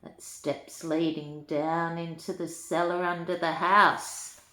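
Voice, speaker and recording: An older woman, a grandmother, reads a rhyming children's storybook aloud in an unhurried lilt, chatting to young listeners in asides. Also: fairly low pitch, flat delivery, bright, forceful tone. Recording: echoey room.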